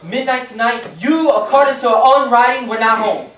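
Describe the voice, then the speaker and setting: An actor playing a stern, accusing investigator in an old-time radio play, speaking deliberately and theatrically. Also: mid-range voice, steady tone, fairly bright tone, reverberant, recorded from a distance, lightly acted.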